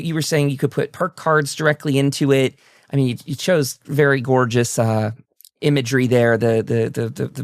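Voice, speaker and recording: A male talk show host chats casually with a guest. He is effusive and thinks aloud as he goes, in a mid-pitched voice with a very dry, roomless sound. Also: no room sound. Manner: effusive, musing. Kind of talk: casual interview chat.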